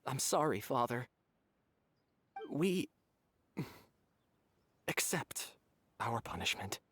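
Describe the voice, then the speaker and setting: A man's voice: medium pitch, big pitch swings, drawn-out words, a bit bright, breathy edge, exaggerated voice. A man playing a remorseful young orphan in an anime game, grave and resigned.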